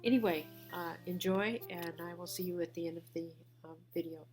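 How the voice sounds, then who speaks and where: A woman's voice: fairly low pitch, fairly even intonation, fairly quick, loud music behind the voice. A woman with a cold who is losing her voice, giving a hesitant, casual video intro with many fillers. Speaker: video blogger.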